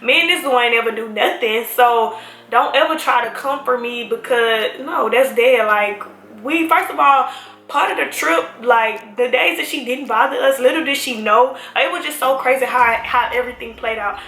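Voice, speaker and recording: Bright animated young woman vlogger. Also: on the high side, normal speed. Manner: animated, slangy, emphatic, addresses viewers as y'all. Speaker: vlogger telling a story. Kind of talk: casual storytime to camera. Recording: echoey room with faint music.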